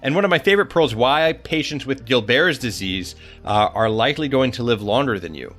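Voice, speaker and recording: A man's voice: mid-range voice, animated, fairly quick, fairly bright tone, soft background music. A man hosting a podcast, speaking in casual, chatty interview style. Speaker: podcast host.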